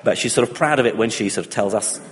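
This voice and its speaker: A man's voice: lowish voice, quick pace, long reverb tail. A middle-aged man born and raised in Iraq, giving a thoughtful personal talk with frequent restarts and fillers. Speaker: speaker on humanism and atheism.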